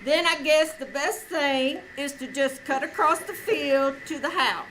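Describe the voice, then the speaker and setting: A woman's voice: high voice, measured pace, fairly bright tone, rough recording. A woman playing an earnest, polite, folksy male bus driver in a 1940s-style radio comedy. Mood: earnest, helpful. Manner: folksy, apologetic. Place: small theater stage. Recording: live stage performance with background noise.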